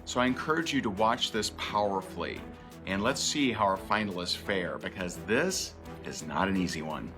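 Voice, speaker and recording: A man's voice: low-pitched, moderate pace. Earnest male host over music. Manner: earnest personal storytelling. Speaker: show host and business coach. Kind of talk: motivational talk to camera. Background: music bed.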